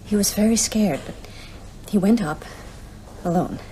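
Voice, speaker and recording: A woman's voice: mid-range voice, unhurried, warm tone, a little hiss or hum, natural voice, rough recording. An actress playing a loving, anxious and sceptical young woman in a radio drama, intimate and hesitant.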